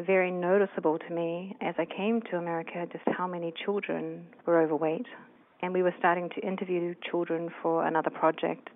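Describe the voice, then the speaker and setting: A woman from New Zealand, a researcher answering interview questions thoughtfully with many fillers. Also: lowish voice. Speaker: researcher guest. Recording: tinny phone line.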